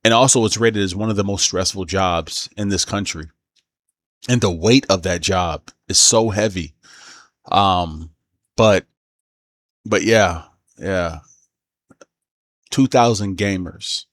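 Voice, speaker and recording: Man, low-pitched voice, casual news commentary, hesitant, halting, self-correcting delivery.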